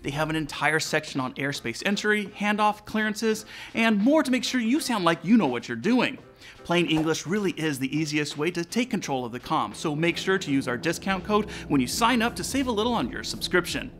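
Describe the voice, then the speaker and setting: A man's voice: fairly high pitch, sing-song, a little fast, fairly bright tone. An American man in his forties hosting, giving a scripted explanation to viewers over background music. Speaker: aviation channel host. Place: indoor studio room with aviation decor.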